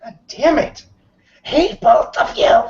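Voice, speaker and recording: A man's voice: very high-pitched, slow pace, gravelly, a little mellow, slight background noise. A man playing a tabletop roleplaying game, chatting casually and swearing freely as a player. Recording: dull, lo-fi voice recording.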